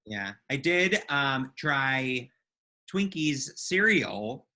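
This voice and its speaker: A woman with a bright, forward voice co-hosts a relaxed live podcast. She talks casually and playfully, as if chatting with friends. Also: a bass voice, very slow. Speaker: podcast co-host.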